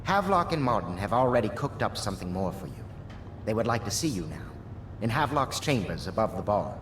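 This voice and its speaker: A man playing a jaded, world-weary conspirator in a video game, measured and grave. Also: fairly low pitch, very animated. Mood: grave, matter-of-fact. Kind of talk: video game character dialogue. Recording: echoey room, clear background noise.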